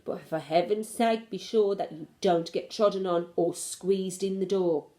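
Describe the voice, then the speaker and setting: A woman's voice: medium pitch, steady tone, a little slow, warm tone, close to an everyday voice. A woman voicing a shrewd, affectionate old grandmother in a storybook, with an emphatic, doting manner. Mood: fond, firm.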